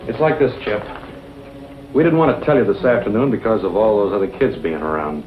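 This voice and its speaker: An actor playing a menacing crook in an old western film, smooth-talking with a threatening edge. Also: male voice, fairly low pitch, very animated, fairly quick. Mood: coaxing. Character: menacing male crook coaxing and threatening a boy. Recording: muffled vintage film soundtrack, music underneath.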